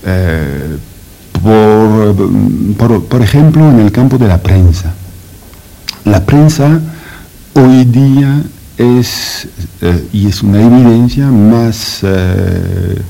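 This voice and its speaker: Slow gravelly man with a French accent. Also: on the low side, animated, mellow, low-quality recording. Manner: slow, halting with many "eh" fillers and restarts. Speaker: interview guest, French academic. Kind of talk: formal interview answers.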